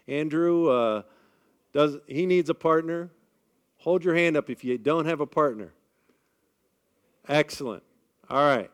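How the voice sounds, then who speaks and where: An energetic male speaker with a big projected voice that sits a bit high for a man. He rallies a live audience on a microphone with upbeat, chatty energy and keeps checking that they are with him. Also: smooth. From Midwestern United States. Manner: energetic, upbeat crowd-rallying with frequent "all right?" checks. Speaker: event speaker leading the group. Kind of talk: live talk leading an audience icebreaker. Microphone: amplified on a microphone.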